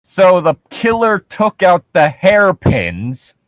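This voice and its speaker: A man co-hosts a casual comedy reading show in a sardonic, sweary manner. His pitch is lively and swings around as he gets exasperated. The sound is dry, with very little room in it. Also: takes it slowly, dry recording. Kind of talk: casual riffing while reading horror stories aloud.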